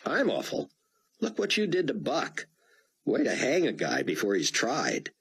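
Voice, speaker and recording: A man's voice: mid-range voice, wildly swooping pitch, a little slow, fairly bright tone, husky, voice pushed up, full character voice. A man doing a wry, teasing middle-aged dad. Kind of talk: audiobook character voice.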